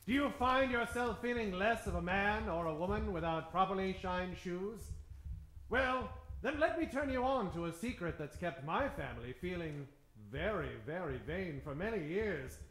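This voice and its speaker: A man's voice: high voice, expressive, moderate pace, a bit bright. A man narrating as host of an old-time radio show with a theatrical announcer's delivery. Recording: roomy live stage sound.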